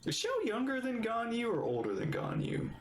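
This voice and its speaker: A man's voice: extremely high pitch, huge pitch swings, normal speed, echoey room, slight background noise. A man giving casual, amused, rambling reaction commentary as he streams a video game.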